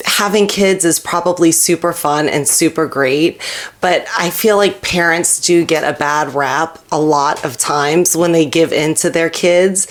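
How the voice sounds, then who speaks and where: A woman co-hosting a podcast, chatting casually and candidly, swearing freely and jokingly acting out bits of dialogue. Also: sits low. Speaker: podcast co-host. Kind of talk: casual comedic chat.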